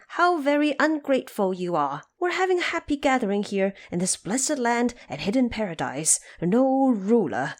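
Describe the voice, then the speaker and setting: A woman voicing a devoted, carefree monkey subject in a storybook drama, exclaiming theatrically. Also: medium pitch.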